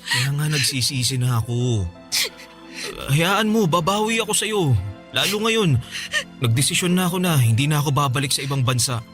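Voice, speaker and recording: A remorseful Filipino husband figure in a radio drama. His voice sits on the high side, imploring and apologetic, with music underneath. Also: expressive, moderate pace, a bit bright. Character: pleading, remorseful live-in partner, father back from abroad.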